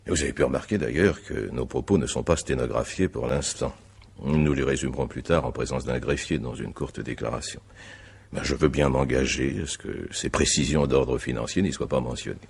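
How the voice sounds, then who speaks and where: An actor playing a courteous, insistent examining magistrate in a radio mystery drama, putting questions in a formal, measured and hesitant way. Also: male voice, rumbling low, gravelly, a little mellow, breathy edge, full character voice.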